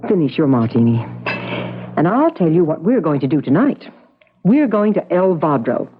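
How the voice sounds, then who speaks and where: Upbeat caring sister, very sing-song, old radio play, tinny noisy recording. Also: female voice, sits low, very animated, normal speed, breathy edge, heavily acted. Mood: lively, persuasive. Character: warm, coaxing, upbeat sister of a grieving widow. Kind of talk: old-time radio drama acting. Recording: noisy narrow-band vintage radio recording.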